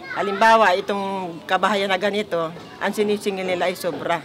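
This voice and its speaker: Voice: medium pitch, steady tone, brisk, a bit bright. A Filipino resident explaining community grievances in an interview. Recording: noisy outdoor field recording.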